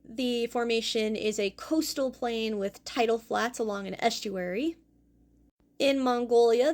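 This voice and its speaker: Female host-teacher, young adult, slightly high voice with some vocal fry, clear explanatory lesson delivery. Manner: clear explanatory delivery, careful over hard names. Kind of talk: educational fossil presentation.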